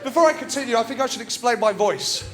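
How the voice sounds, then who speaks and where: Young man with a nasal voice, high-pitched. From England. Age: young adult. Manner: fast deadpan storytelling to a laughing audience. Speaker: stand-up comedian. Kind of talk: stand-up routine. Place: TV studio stage with live audience. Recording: loud studio audience laughter.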